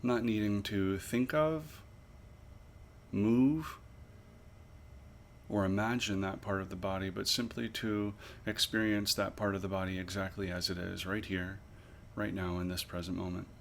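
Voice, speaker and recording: A man leading a guided body scan meditation as an instructor, with measured, pausing, gently instructive phrasing. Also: deep voice, fairly even intonation, vocal fry, a little hiss or hum.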